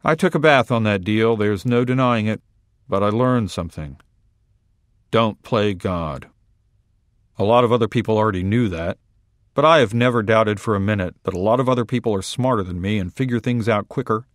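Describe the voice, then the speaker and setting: Wry deadpan male story reader. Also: fairly low pitch, moderate pace, dry recording, studio quality. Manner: wry, deadpan first-person narration. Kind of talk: literary short story reading.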